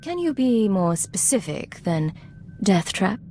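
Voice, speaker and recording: A woman's voice: medium pitch, sing-song, slow pace, warm tone, faint music behind. A woman acting a composed undercover intelligence agent in a video game, speaking in a clipped, formal, controlled way.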